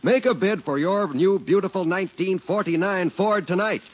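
A man's voice: high voice, normal speed. A man working as a 1940s radio announcer, delivering scripted advertising and narration. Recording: muffled 1940s radio recording. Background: music.

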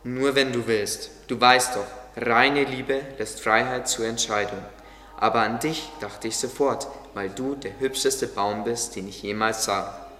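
A man playing a calm, caring gardener in a radio play, speaking with solemn, reassuring patience. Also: mid-range voice, unhurried. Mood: gentle, caring. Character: calm, caring male gardener, gently guiding. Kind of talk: German religious audio drama acting. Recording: echoey hall with music underneath.

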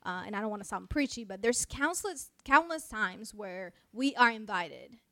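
A woman in her thirties from Mexico, Spanish her first language, speaks English with a light accent. She chats warmly and passionately, laughing often. The sound is close and dry. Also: mid-range voice, sing-song, moderate pace, dry recording. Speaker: podcast co-host, pastor. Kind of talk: casual chat.

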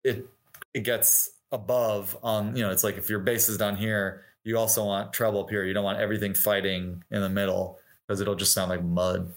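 A man's voice: lowish voice, level tone, moderate pace. A middle-aged man talking casually and at length as an interview guest, explaining his craft as a musician and acting out imagined quotes. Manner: informal and talkative, voicing imagined quotes.

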